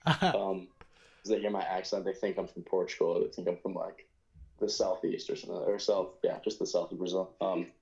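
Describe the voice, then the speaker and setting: Deep-voiced young man with a New York accent. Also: fairly quick, darkish tone, lo-fi sound. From United States. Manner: rambling, hesitant, lots of fillers and self-corrections. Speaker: podcast guest. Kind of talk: casual conversation.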